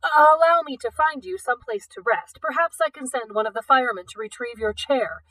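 Woman voicing a flustered museum director, raised pitch. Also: lively intonation, normal speed, bright, forceful tone, no room sound, far from the mic, heavily acted, low-quality recording. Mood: flustered, apologetic. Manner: effusive, fussily polite. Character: flustered, apologetic, officious male museum director.

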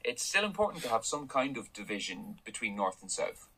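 An actor playing an eager young male business assistant who is quick to chime in, in a radio drama. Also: mid-range voice, very animated, sharp tone, husky, heavily acted, poor audio. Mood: keen.

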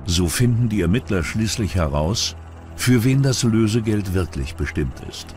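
Low-pitched male documentary narrator over music. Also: animated, normal speed, darkish tone. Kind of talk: scripted true-crime documentary narration. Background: music bed.

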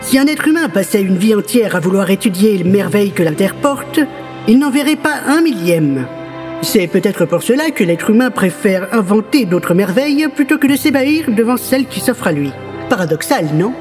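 A woman's voice: medium pitch, very animated, normal speed, a little mellow, faint music behind, close to an everyday voice. A woman playing the musing narrator of a science-fiction radio drama. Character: female narrator musing on the wonders of Earth.

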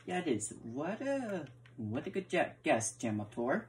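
Very low-voiced woman, stammering game chatter. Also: sing-song, measured pace. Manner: stammering, repeats words often. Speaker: gamer role-playing in a block-building game. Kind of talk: casual role-play chat while playing. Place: indoor room, filming a TV screen.

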